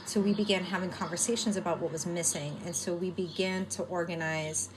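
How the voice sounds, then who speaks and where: Animated woman with a Boston accent. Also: fairly low pitch, a little fast, background noise. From United States (near Boston). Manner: animated, laughs often, many "like" fillers. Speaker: activist guest. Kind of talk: casual interview talk.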